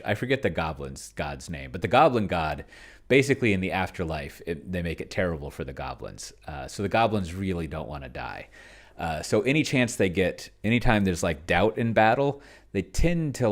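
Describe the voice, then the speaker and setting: A man giving casual live commentary as he plays a tabletop game solo, rambling and hesitant as he thinks out loud. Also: low-pitched. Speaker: solo tabletop game player and video host.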